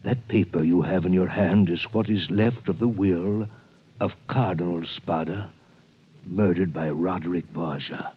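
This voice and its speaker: An actor playing an old, dying priest in a prison, speaking slowly and solemnly in an old radio drama. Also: male voice, deep voice, husky. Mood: earnest. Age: older. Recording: tinny old radio broadcast.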